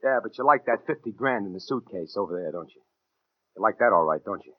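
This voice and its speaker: A man's voice: lowish voice, lively intonation, quick pace, no echo. An actor playing an edgy, slangy American gunman in a 1940s radio crime drama, talking tough and clipped. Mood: hard, callous. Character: edgy, hard-boiled gunman narrating and bullying his girlfriend. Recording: muffled 1940s radio recording, faint music.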